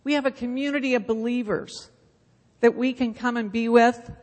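A woman's voice: a little high, fairly even intonation, normal speed, a little mellow. Chatty middle-aged woman in a reverberant church. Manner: chatty, self-deprecating storytelling. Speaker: church Bible school leader. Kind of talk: casual church announcement and talk. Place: church sanctuary. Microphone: handheld microphone. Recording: long reverb of a church hall.

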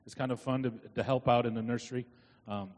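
A middle-aged man giving informal, halting announcements to a congregation as a church committee chair. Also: medium pitch, steady tone, darkish tone. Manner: halting, long-winded. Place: inside a church. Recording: church hall with long reverb.

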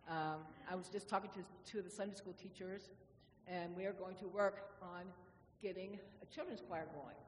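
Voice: medium pitch, moderate pace. A middle-aged or older church music director making informal remarks to a congregation. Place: inside a church. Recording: distant, noisy, reverberant church hall.

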